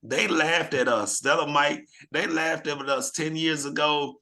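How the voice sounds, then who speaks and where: A slightly hoarse man hosting a team video call, rallying the group with questions in an energetic, motivational way. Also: fairly high pitch, measured pace. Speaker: team leader hosting a real estate mastermind call.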